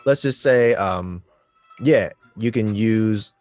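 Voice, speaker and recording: A man's voice: fairly low pitch, slow pace, darkish tone. A man chatting casually in slangy, laid-back phrasing. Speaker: podcast co-host. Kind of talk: casual chat. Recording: music under the voice.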